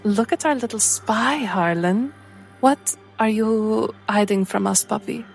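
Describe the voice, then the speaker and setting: Woman doing a sly coaxing fantasy lady, measured, mid pitch, music underneath. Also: creaky. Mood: teasing. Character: Vianne, shrewd teasing female spy companion.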